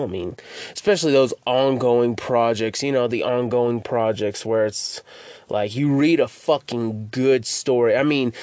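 A man's voice: mid-range voice, a little mellow, no echo, clean studio sound. A man hosting his own podcast in casual solo chat, rambling and stammering, swearing freely as he talks. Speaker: podcast host.

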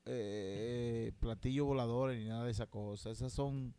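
A man with a Dominican Spanish accent in casual podcast banter, colloquial and quick to argue as a co-host. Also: mid-range voice, steady tone, mellow, clean tone. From Dominican Republic. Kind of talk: casual chat.

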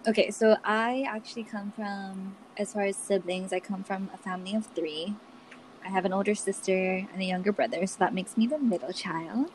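A young woman from Hawaii co-hosting a podcast over a video call, joking and giggling through casual talk. Her voice sits at a middling pitch. There is a slight noise floor beneath it. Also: steady tone, moderate pace, poor audio. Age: 20s. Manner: playful, giggly, joking asides. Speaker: podcast co-host. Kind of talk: casual chat.

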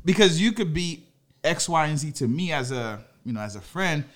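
A man's voice: on the high side, normal speed. A man talking in a casual faith discussion, telling stories animatedly and acting out the reactions he is quoting. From Haiti. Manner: animated storytelling, acts out quoted reactions.

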